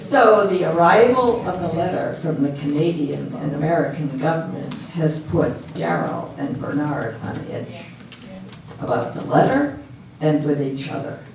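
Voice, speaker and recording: Creaky-voiced woman narrating in an echoey hall. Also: low-pitched, unhurried. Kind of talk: scripted stage narration. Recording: very echoey hall from far off, faint hiss.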